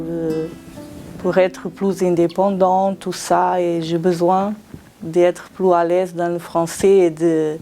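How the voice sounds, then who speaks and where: Non-native French-speaking woman, halting and slow, mellow voice, soft guitar behind. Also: on the low side. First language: not French. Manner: hesitant, searching for words. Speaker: trainee employee. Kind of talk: interview testimony.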